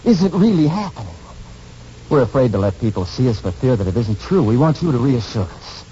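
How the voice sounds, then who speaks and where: An actor playing an earnest, awestruck newlywed husband in a 1940s radio romance, speaking with halting intensity. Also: male voice, medium pitch, sing-song, dark tone, natural voice. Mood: halting, awed. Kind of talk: 1940s radio drama acting. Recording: muffled old radio recording with background noise.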